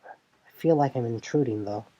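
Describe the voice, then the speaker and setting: A man's voice: mid-range voice, moderate pace, dark tone, highs cut off, close to an everyday voice. A man voicing a hesitant, polite youth among strangers in a fantasy audio drama, tentative and courteous. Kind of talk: fan audio drama dialogue.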